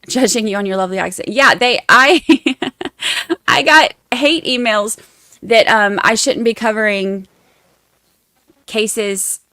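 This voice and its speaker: A woman's voice: mid-range voice, slow pace, long pauses, bright, forceful tone, dry recording, studio quality. A woman with a Southern Georgia accent hosting a casual livestream, rambling and self-deprecating as she chats to her viewers. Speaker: livestream host covering trials.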